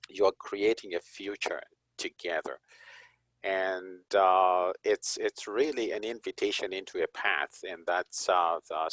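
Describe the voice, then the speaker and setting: A man from Zimbabwe, a police chaplain and guest, talking in an unhurried, reflective way with frequent chuckles. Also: low voice, a bit bright, no room sound, rough recording. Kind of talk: conversational interview.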